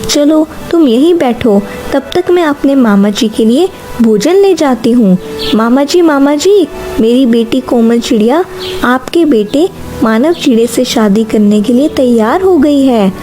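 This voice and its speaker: High-pitched, caring mother bird voiced by a woman. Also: lively intonation, measured pace, dark tone, soft background music. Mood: eager, polite. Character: caring, eager middle-aged mother bird. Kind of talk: Hindi cartoon moral story voice acting.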